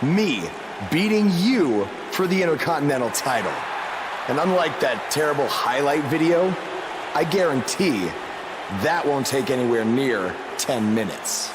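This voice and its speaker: A man's voice: medium pitch, animated, a little slow, natural voice. A man playing a confident, defiant newcomer wrestler with a taunting way of talking in game cutscenes. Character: cocky, defiant young male newcomer wrestler. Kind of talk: acted game cutscene dialogue. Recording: clear background noise.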